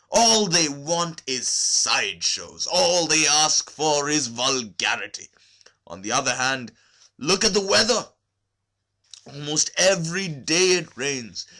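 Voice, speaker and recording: A small, histrionic theatre manager acted out by a man reading a story, full of self-pity. He wails and exclaims in a high, strained, loud voice, bright and piercing, milking every misfortune. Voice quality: thin falsetto-leaning voice, strained.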